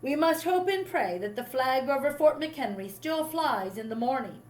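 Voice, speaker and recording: A woman voicing a calm, courteous American gentleman in a storybook, polite and reassuring. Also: high-pitched, normal speed. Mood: earnest.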